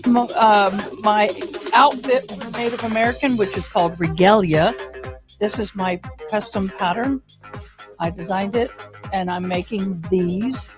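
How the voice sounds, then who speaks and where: A woman hosting a casual livestream, talking in a halting, rambling way. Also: medium pitch, music in the background. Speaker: livestream host.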